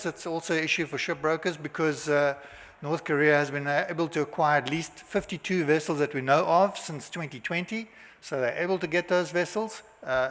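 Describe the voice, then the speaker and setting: A man with a South African accent giving a halting conference presentation, full of filler sounds and restarts. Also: on the high side, a bit bright. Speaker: conference speaker. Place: hotel conference hall. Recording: long hall reverb.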